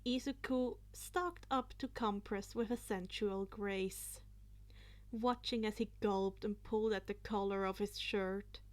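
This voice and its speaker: A woman narrating fan fiction aloud in an expressive, playful amateur style, now and then stumbling over a word. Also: medium pitch, unhurried. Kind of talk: expressive amateur story reading.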